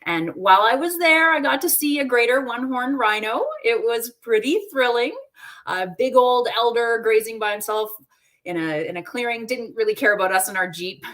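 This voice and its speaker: A woman's voice: a little high, moderate pace, a bit bright. A woman hosting a live wildlife webinar, friendly and upbeat, talking conversationally over a video call. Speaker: host.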